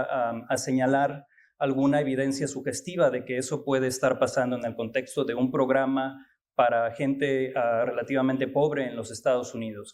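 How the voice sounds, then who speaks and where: Guy giving a uni talk, lots of ums and restarts, mid pitch. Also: darkish tone. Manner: halting, many fillers and restarts. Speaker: guest lecturer. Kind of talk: academic conference talk.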